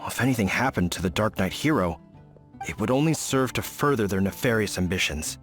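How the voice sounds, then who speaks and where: A man's voice: fairly low pitch, lively intonation, brisk, fairly bright tone, soft background music. A man voicing an earnest, dutiful knight officer who explains his orders formally, in video game acting.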